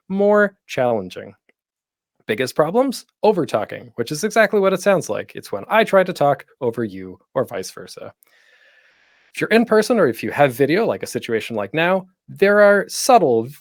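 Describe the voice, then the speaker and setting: A man hosting an informal online tutorial, talking in a chatty, playful way with deliberate pauses for emphasis. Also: fairly high pitch, dry recording, studio quality. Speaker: panel host and podcasting instructor.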